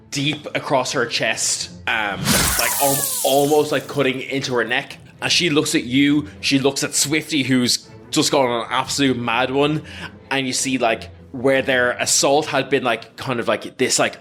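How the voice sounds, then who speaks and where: A man narrating a tabletop role-playing game as game master, improvising descriptions in a halting, filler-heavy way. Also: mid-range voice, fairly bright tone. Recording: background music under the voice.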